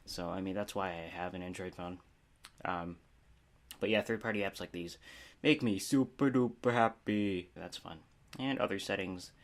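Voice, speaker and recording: A man's voice: low voice, moderate pace. A man giving a casual, unscripted review as a video host, rambling informally with a self-deprecating manner.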